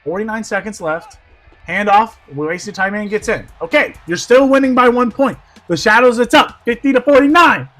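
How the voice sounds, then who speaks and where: A man doing play-by-play football commentary, going hoarse, who swings from quiet asides to shouted excitement. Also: high voice, normal speed, soft background music.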